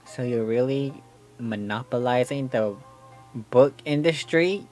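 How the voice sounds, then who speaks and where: A woman's voice: extremely low pitch, unhurried, darkish tone. A woman game streamer casually reacting as she plays, with sassy, slangy asides. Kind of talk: casual reacting and commentary while playing a game. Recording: game music behind the voice.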